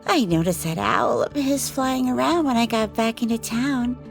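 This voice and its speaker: A woman voicing a playful, flirtatious woman, a vampire's lover, in an audio drama, teasing and unhurried as she tells her stories. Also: a little high, gravelly. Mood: teasing, confiding. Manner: teasing, unhurried storytelling with frequent laughter. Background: background music.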